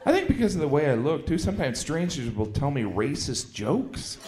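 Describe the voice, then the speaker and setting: A man doing a stand-up routine on a club stage, deadpan and self-mocking, acting out quoted voices. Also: fairly high pitch, brisk. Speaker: stand-up comedian. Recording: echoey, noisy club recording.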